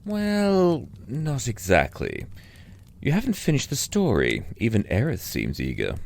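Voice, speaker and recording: A man voicing a male keeper of stories who presses a guest to finish his tale, speaking in a dry, gently insistent way in an audio drama. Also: mid-range voice, wildly swooping pitch, a little slow, gravelly, heavily acted.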